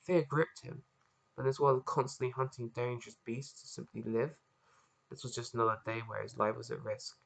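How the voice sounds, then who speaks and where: A man with a croaky voice, just woken up, reading a chapter of his own story aloud into a laptop webcam and then signing off casually. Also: medium pitch, level tone, brisk. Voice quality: croaky, gravelly. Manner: casual, rambling sign-off with fillers. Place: at home in front of a laptop.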